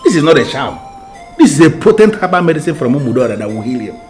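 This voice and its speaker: A man's voice: fairly high pitch, sing-song, quick pace, soft background music. An actor playing a man who insistently urges his brother to take a medicine. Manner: insistent, persuasive. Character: middle-aged man, insistent persuading brother. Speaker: uncle, brother.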